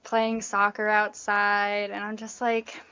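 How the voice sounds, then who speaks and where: A woman's voice: mid-range voice, completely flat delivery, slow, bright, forceful tone, clean tone, dull audio. A woman hosting a podcast interview, upbeat and chatty with lots of fillers. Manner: upbeat, chatty, says "like" often. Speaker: podcast host. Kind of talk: casual interview chat.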